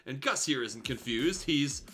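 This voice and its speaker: A man's voice: mid-range voice, expressive, sharp tone. Man reading as a smug, petty bearded grump. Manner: smug, unhurried.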